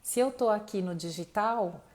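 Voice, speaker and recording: A woman's voice: mid-range voice, normal speed, darkish tone. A woman with a Brazilian accent teaching informally as a mentor in an online live class, speaking directly to her audience and thinking aloud, with a slightly tired voice. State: voice tiring, losing it slightly. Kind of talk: informal motivational teaching to camera.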